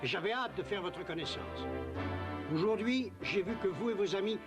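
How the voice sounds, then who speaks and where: A man performs a noble warrior king for a cartoon. He is gracious and commanding, with formal, grand phrasing. The pitch is high for a man, and loud music runs behind. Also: moderate pace, natural voice. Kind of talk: French cartoon dub acting.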